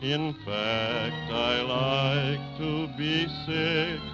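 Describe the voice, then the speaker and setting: A man's voice: medium pitch, fairly even intonation, extremely slow. An American man playing a mournful, grumbling whale, singing a comic operatic lament in 1930s radio style. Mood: doleful. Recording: dull old radio recording, music behind.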